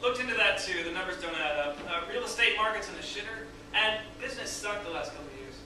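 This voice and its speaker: A man's voice: high voice, animated, fast talker. A man playing a crass, joking accountant buddy in a staged reading, with loose, profane phrasing, recorded from afar in an echoey room. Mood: casual, awkward. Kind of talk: staged screenplay reading, casual dialogue. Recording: distant, very echoey room with noise.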